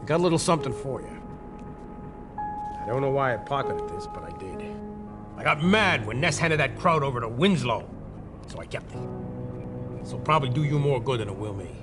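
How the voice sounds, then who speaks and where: A man plays a sardonic American city detective in a game cutscene. He talks informally and gruffly, with lively intonation at a medium pitch. Music is heard behind him. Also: a little fast, pauses often, close to an everyday voice. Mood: casual, confiding. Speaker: police detective. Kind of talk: video game cutscene acting. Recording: game dialogue over background music.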